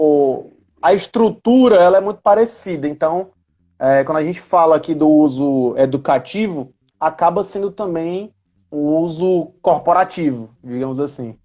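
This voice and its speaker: A man's voice: on the high side, darkish tone. A man in his thirties with a Northeastern Brazilian accent, explaining things in a casual live chat, often checking in with little tag questions. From Ceará, Brazil. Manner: chatty, frequent tag questions seeking agreement. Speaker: guest, history teacher and educational coordinator.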